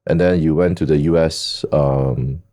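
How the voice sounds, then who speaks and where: A middle-aged man hosts a podcast, teasing his guests and chuckling as he goes. His voice is low and dark. He stretches out his ums and uhs between points. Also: fairly even intonation. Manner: chuckling, teasing, drawn-out fillers. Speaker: podcast host. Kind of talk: casual podcast hosting.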